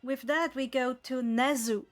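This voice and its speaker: A woman's voice: sits high, steady tone, a little slow. A woman narrating a tabletop game as game master, telling the story dramatically in the second person. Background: background music.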